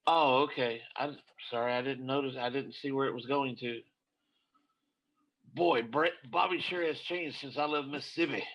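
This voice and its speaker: A man's voice: mid-range voice, normal speed, a bit bright, rough recording. A man reading comic dialogue in a casual amateur group read-through.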